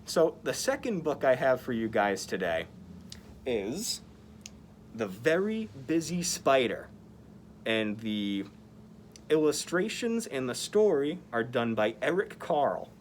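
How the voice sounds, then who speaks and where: Slow, animated storyteller with lively pitch. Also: a little hiss or hum. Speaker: library story-time reader dressed as Spider-Man. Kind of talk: children's picture book read-aloud.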